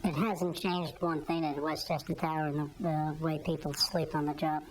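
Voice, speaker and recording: A woman giving measured answers in an interview as a whistleblower. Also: deep voice, little pitch movement.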